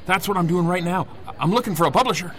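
A man's voice: sits high, fairly bright tone, a faint crowd. A man playing a superhero's loyal best pal, rambling earnestly and casually in a comedic audio drama. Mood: proud, earnest. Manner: halting, casual, earnest dimwitted musing. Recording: faint outdoor street noise.

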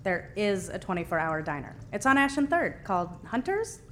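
Deadpan young American boarder. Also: female voice, medium pitch, normal speed, a little hiss or hum. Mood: dryly insistent. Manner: deadpan, wry. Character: dry, frank, bookish young boarder. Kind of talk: naturalistic audio drama acting.

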